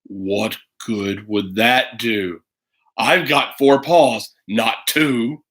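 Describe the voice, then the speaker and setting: Man doing a slow, menacing storybook bear. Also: medium pitch, a bit bright, pitched below the performer's own voice. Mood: scornful. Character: fierce hungry bear, boastful, drooling over a little girl.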